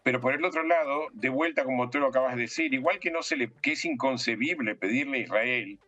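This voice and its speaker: A man's voice: mid-range voice, fast, sharp tone, highs cut off. A man commenting on politics in an interview, explaining emphatically and posing rhetorical questions.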